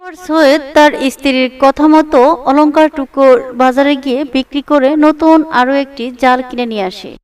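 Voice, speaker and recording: A woman with a high voice narrates a children's cartoon story from a script. She sounds slightly distant from the microphone. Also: fairly quick, faint music behind.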